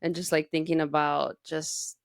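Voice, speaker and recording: A woman's voice: low-pitched, flat delivery, moderate pace, gravelly, clear, resonant tone, no room sound, clean studio sound. A woman in her thirties from the United States, raised in Texas, chatting casually as a podcast host in informal speech peppered with "like". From United States, grew up in Texas. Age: 30s. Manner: informal, heavy use of "like". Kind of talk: casual conversational podcast chat.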